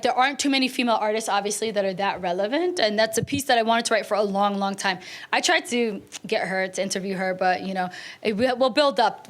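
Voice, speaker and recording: A woman writer on a casual panel, chatty, slipping Somali phrases into her English. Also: a little high.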